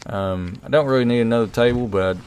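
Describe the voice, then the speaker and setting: A middle-aged man giving a relaxed, rambling product review, full of fillers and restarts. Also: medium pitch, a little fast, slight background noise. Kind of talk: casual voice-over review. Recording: music playing underneath.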